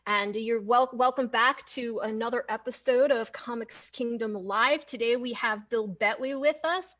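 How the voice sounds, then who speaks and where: High-voiced woman hosting a casual livestream. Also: a bit bright. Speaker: host, comics editorial director.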